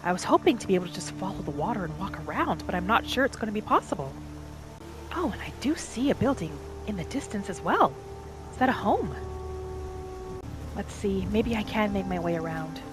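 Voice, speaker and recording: A gentle female wood elf wanderer , voiced by a woman player, musing softly to herself as she travels through a fantasy video game. Her voice sits at an ordinary pitch for a woman and has a homesick, reflective temper. Music and rain play clearly behind her. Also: sing-song, brisk, close to an everyday voice. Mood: musing, curious. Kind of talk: first-person roleplay narration of a video game.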